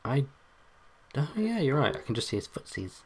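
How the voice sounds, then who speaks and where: A young man with a bassy voice, hosting a let's play with casual, joking commentary and humming. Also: sing-song, normal speed, slightly rough. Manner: joking, hums and sings to himself, loud bursts.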